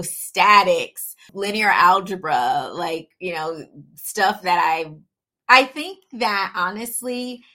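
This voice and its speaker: Chatty woman with a bright voice. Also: mid-range voice. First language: English. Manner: chatty, peppers speech with "you know". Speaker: podcast guest, supply chain consultant. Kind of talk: casual conversational interview.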